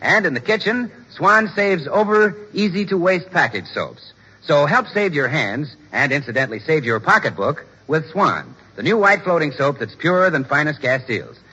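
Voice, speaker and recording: A male 1940s radio announcer delivering a scripted pitch. His fairly high voice is very bright and forceful with a rough edge. The old recording is muffled and swims in reverb. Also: big pitch swings, normal speed.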